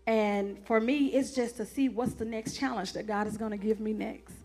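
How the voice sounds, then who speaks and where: A woman co-hosting a casual church chat, lively, teasing and emphatic. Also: on the high side, moderate pace, soft background music. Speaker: church co-host.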